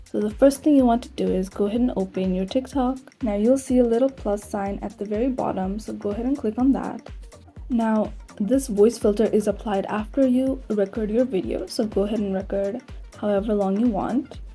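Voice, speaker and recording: A woman's voice: medium pitch, normal speed, warm tone, soft background music. A woman narrating a step-by-step tutorial in a plain, instructional manner. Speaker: tutorial host.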